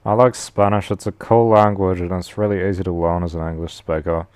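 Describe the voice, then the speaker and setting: A man with a deep voice, rambling casually and slangily to his stream audience. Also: fairly even intonation, a little fast, darkish tone, smooth, dry recording. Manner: slangy, rambling, says "bro" a lot. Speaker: live streamer.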